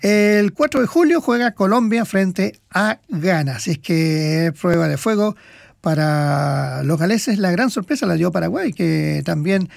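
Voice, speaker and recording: A man's voice: high voice, expressive, a little slow, vocal fry, no room sound, clean studio sound. A man co-hosting a casual sports talk segment, rambling through match results with many fillers and hesitations. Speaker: sports commentator co-host. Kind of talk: casual radio sports chat.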